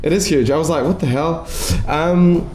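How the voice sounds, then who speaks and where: A young man from Latvia, chatting on a podcast in a curious, animated way. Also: on the high side, normal speed, reverberant, a little hiss or hum. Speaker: podcast co-host, actor. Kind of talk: casual chat.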